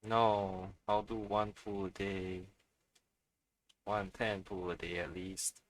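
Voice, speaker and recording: A man's voice: deep voice, flat delivery, slow pace, low-quality recording. A man live-streaming a video game with casual, unscripted commentary, muttering to himself and swearing freely.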